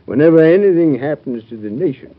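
A raspy old-school american ex-president, folksy, swoopy delivery, tinny radio play. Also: male voice, fairly high pitch, animated, fairly quick. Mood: wry. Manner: folksy, blunt, avuncular. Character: blunt, fatherly elder statesman, a former president. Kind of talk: old-time radio drama acting.

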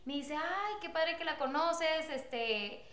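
A young woman telling a personal story in casual chat, animated and quick to laugh. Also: high-pitched, reverberant. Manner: animated, laughs often, acts out quotes. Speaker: guest telling their love story.

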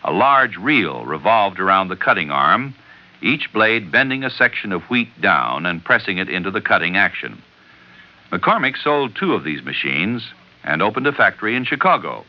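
A low-voiced American man announcing on old-time radio. His scripted narration swings in a lively way, and the recording is slightly muffled.

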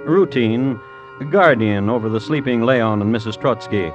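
A man's voice: medium pitch, normal speed, music in the background. A man giving grave, suspenseful scripted narration for an old-time radio drama.

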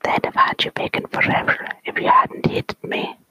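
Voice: moderate pace, bright, forceful tone, no echo. A storyteller voicing a new mother in a folk tale, giving urgent advice in a whisper. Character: woman in childbed, urgent adviser in a folk tale.

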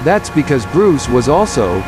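A man's voice: mid-range voice, big pitch swings, unhurried. A man narrating a scripted superhero story as an audio drama narrator. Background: music.

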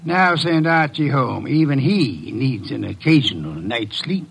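An actor playing an imperious, brilliant older private detective, gruffly dismissive and precise in his diction, in 1950s radio drama style. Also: mid-range voice, expressive, background noise. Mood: smug, commanding. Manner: pompous, precise, deliberate diction.

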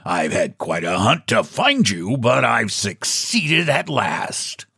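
A man's voice: fairly low pitch, very animated, slow, creaky, pushed and bright, breathy edge, dry recording, studio quality, exaggerated voice. A man voicing a short, fat, shabby book agent in a storybook, sly and mock-polite with a mysterious, pompous manner. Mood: brisk, smug. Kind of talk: storybook character voice.

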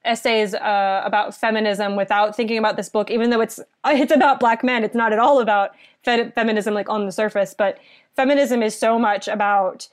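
A woman's voice: medium pitch, fairly even intonation, a little fast. A young woman from southeastern Virginia recommending a book in a casual chat, earnest and thoughtful, rambling a little.

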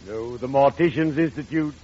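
An actor playing a morbidly genial undertaker in an old radio comedy, solemn, formal and punning. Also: male voice, medium pitch, natural voice. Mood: droll. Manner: formal, grandly deliberate, punning. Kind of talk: 1940s radio comedy acting. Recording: dull, noisy old radio recording.

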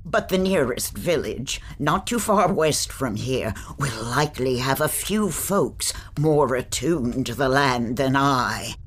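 A woman playing a calm, cryptic guide attuned to the land in an audio drama, speaking in a measured, formal way. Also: a bass voice, slightly rough, exaggerated voice. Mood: solemn, helpful.

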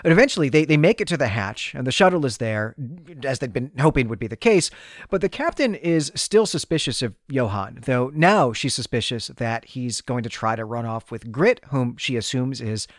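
A man with a medium-pitched voice talks through fiction as a podcast co-host. He is articulate and explanatory, with an enthusiastic, conversational delivery. The sound is very dry, with no room around it. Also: lively intonation, normal speed, no room sound. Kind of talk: conversational literary recap and discussion.

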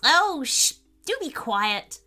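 A woman's voice: high voice, very animated, slow, sharp tone, faint music behind, heavily acted. A woman voicing a kindly, slightly flustered old witch in a children's story, chatty and exclamatory. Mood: flustered, fussy. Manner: exclamatory, fussy, repeats herself.

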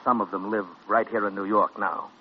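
A dull, faintly hissy radio recording carries a man acting a middle-aged father. His voice is deep, his temper sober and forgiving, in old radio-play style. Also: steady tone, brisk, natural voice. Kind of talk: 1950s American radio drama acting.

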